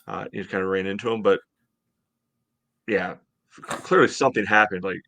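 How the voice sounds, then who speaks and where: An American man in his thirties hosting a casual sports podcast over a video call, speaking haltingly with lots of "you know". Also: lowish voice, vocal fry, sharp tone. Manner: hesitant, halting delivery, heavy use of "you know". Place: home room, indoors, on a video call. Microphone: headset on video call.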